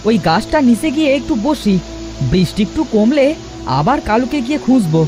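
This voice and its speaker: A woman's voice: a little high, big pitch swings, brisk, over music. A woman voicing a timid, obedient village boy in a cartoon, full of anxious questions and exclamations. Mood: anxious, frightened. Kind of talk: Bengali cartoon voice acting.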